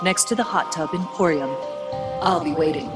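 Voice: medium pitch, normal speed, natural voice. Smarmy hypnotist ad, played by an actor: slick read, music, big reverb. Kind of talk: parody radio advert.

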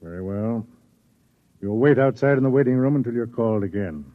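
An actor playing a stern, suspicious government interrogator, formal and insinuating, in classic radio drama style. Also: male voice, medium pitch, expressive, fairly quick, loud music behind the voice, close to an everyday voice. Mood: coolly suspicious. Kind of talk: old-time radio drama acting. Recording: thin phone-like old radio recording.

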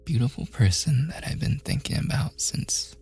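A man playing a shy, awkward young man in a boyfriend ASMR roleplay, softly stammering and often slipping into whispers. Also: mid-range voice, animated, normal speed, creaky, breathy edge, soft background music, heavily acted. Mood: shy, smitten. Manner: hesitant, stammering, self-deprecating joking.

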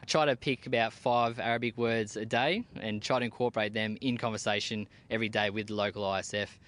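A man's voice: on the low side, fairly even intonation, fast talker, fairly bright tone. Man, young adult, Australian accent, army team leader, plain-spoken, casual slang, outdoors. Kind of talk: casual interview. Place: outdoors at a military base.

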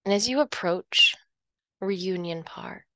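A woman leading a guided hypnosis meditation, speaking in a slow, soothing, hypnotic way and dropping softly into whispers. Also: medium pitch, lively intonation, no room sound.

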